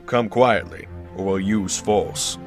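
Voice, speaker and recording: A man's voice: fairly low pitch, fairly even intonation, takes it slowly, faint music behind. A man playing a stern armed soldier in a fan audio drama, commanding and threatening. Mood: stern warning.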